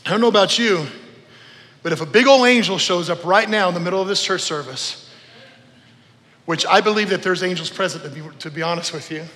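Male preacher, middle-aged, forceful bright delivery, conversational and emphatic storytelling, long hall reverb. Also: high voice, a little fast. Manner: conversational, emphatic, repeats phrases, checks in with listeners. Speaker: preacher, former youth pastor. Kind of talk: informal storytelling sermon to a congregation. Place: church service in a large room.

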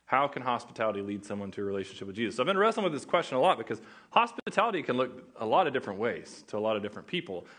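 A man's voice: medium pitch, very animated, very fast, hardly any pauses, a bit bright, breathy edge. A young man from Oklahoma with an Oklahoma accent, preaching as a guest pastor in a casual, joking way that chats with the audience. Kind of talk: sermon.

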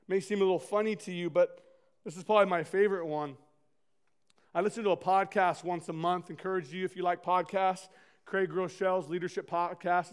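High, clear-voiced passionate male pastor. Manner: passionate, loud and earnest. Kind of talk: sermon.

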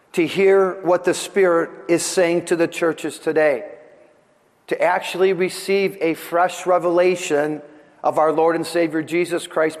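A man preaching a sermon as a pastor, speaking deliberately and earnestly, his voice slightly off after a throat infection. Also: a little high. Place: large church hall during a service. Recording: long hall reverb.

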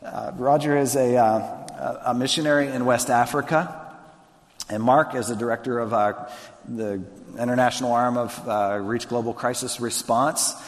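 A man preaching as a pastor, earnest and conversational, often circling back to restart a thought. His pitch sits in a middle range. The sound carries the long reverb of a large hall. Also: steady tone, moderate pace. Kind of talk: sermon and onstage interview.

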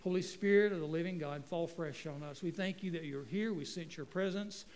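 A middle-aged guest pastor from North Carolina, preaching in a folksy, joking way with stumbling restarts. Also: male voice, on the high side, brisk, darkish tone, long reverb tail. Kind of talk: sermon. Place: church sanctuary. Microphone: handheld microphone.

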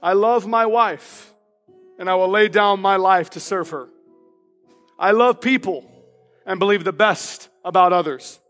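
A man with a high, loud and passionate voice preaches from the pulpit and reads declarations aloud with emphasis. His words ring out with a long hall reverb. Soft instrumental music plays underneath. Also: a little slow, pauses often. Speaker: pastor. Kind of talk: sermon. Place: church sanctuary.

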